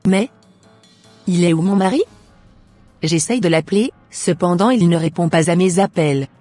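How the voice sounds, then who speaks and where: Low, mellow-voiced trusting wife played by a woman. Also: moderate pace, faint music behind, close to an everyday voice. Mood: worried. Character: trusting, kindly young wife and friend. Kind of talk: scripted cartoon dialogue.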